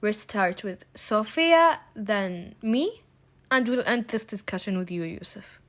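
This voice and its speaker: A woman's voice: mid-range voice, lively intonation, takes it slowly, a bit bright, no echo. A young woman from Kuwait, a student presenting at a seminar, reading prepared remarks with small restarts.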